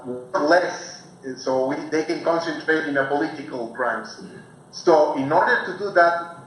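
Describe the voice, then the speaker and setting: A Mexican guest lecturer, native in Spanish, speaking haltingly in a slightly high voice over a remote call played into a very echoey room. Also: male voice, a bit bright. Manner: halting, searching for English words. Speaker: guest speaker, forensic researcher. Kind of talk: informal public lecture. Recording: very echoey, faint noise, remote video call.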